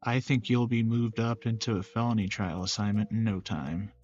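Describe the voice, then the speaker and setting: A man playing a protective, observant male character in a visual novel, low-key and steady. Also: normal speed, muffled recording, soft background music, natural voice. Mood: calm, supportive.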